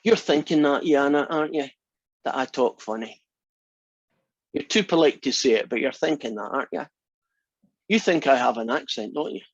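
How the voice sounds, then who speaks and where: A man's voice: medium pitch, lively intonation, normal speed, pauses often, breathy edge, dry recording. An older Scottish man in his sixties, a math instructor opening his class in a joking, teasing way. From Scotland. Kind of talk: class introduction. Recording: slightly dull lo-fi sound.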